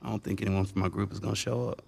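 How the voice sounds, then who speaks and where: An actor with an American accent playing an excitable, friendly, protective young man in a video game drama. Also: lowish voice, very fast, vocal fry, a little hiss or hum. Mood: subdued. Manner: animated, informal. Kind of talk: naturalistic video game cutscene acting.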